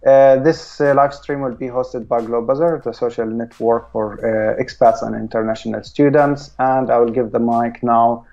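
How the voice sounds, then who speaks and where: A man's voice: medium pitch, level tone, moderate pace, few pauses, dark tone, highs cut off. A man from Palestine hosting a live stream, talking casually and hesitantly with many filler sounds.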